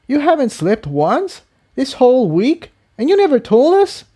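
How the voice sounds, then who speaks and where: A worried female pony from a fantasy storybook, played by a man in a raised voice. The tone is high for a man and gentle, with a hesitant, concerned manner that stays frank. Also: wildly swooping pitch, measured pace, heavily acted. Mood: shocked concern.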